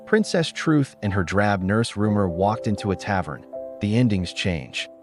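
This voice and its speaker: A man reading a literary story in a measured, solemn manner, as a scripted narrator. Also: lowish voice, animated, music in the background.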